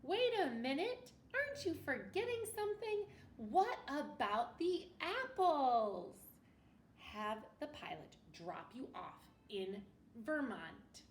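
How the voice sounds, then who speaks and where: A woman teacher reading a picture book aloud to children in an expressive way, often pausing to ask her listeners questions. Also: high voice. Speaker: elementary school teacher. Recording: roomy, distant mic with faint noise.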